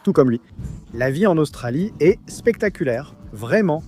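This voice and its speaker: Dark-toned Colombian man, earnest. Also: fairly high pitch, sing-song, normal speed, soft background music. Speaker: interviewee. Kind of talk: dubbed interview testimony.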